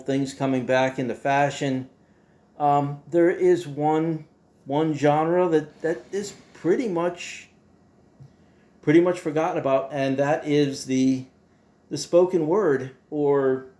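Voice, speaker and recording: A man hosting a casual monologue to his viewers in a folksy, playful way, halting and repeating himself as he goes. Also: fairly high pitch, dark tone. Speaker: host.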